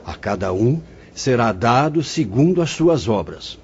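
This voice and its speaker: An actor playing a calm, fatherly spirit director who explains things solemnly, in a radio drama. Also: medium pitch, measured pace, slight background noise. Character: venerable male spirit director, gentle and paternal. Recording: dull lo-fi audio.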